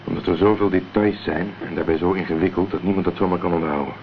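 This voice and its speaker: A man's voice: sits low, fairly even intonation, fast, close to an everyday voice. A man acting a cool, determined amateur investigator in a Dutch radio crime drama, speaking tersely and matter-of-factly. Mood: analytical, determined. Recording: dull, noisy old radio play recording.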